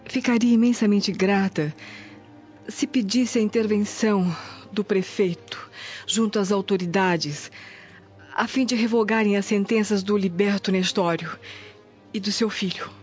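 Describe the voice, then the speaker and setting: A woman's voice: mid-range voice, fairly even intonation, a little slow, a little mellow, lightly acted. A woman acting a humble, anxious Roman noblewoman in a radio drama, deferential and politely pleading. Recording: dull lo-fi audio, music behind.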